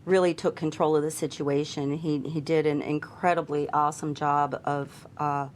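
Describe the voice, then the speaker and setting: A flight attendant recounts events for a documentary interview in a calm, deliberate way. Her voice is very low for a woman. A faint rumble sits underneath.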